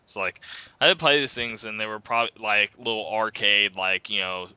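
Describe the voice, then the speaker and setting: Bright-voiced twenty-something man, lo-fi. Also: fairly low pitch, a little fast, clear, resonant tone, no echo. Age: 20s. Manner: loose, rambling, says "like" a lot. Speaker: podcast host. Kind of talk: casual chat. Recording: dull lo-fi podcast mic.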